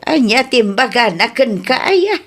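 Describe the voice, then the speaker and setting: A woman acting a blunt, scolding mother with a husky voice in a radio drama. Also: a little high, very animated, measured pace, a bit bright, heavily acted. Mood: concerned.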